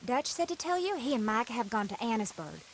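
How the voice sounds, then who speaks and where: A woman's voice: on the high side, big pitch swings, a little fast, a little hiss or hum, low-quality recording. An actress playing a young woman in an outlaw camp, relaying messages in a western game drama. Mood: informative.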